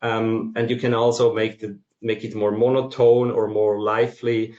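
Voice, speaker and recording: Male presenter, Swiss accent, lowish register, hesitant explanatory delivery, echoey room recording. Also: flat delivery, moderate pace, a little mellow, lo-fi sound. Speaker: masterclass presenter. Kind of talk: explanatory conference presentation for non-technical listeners. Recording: roomy, echoing sound.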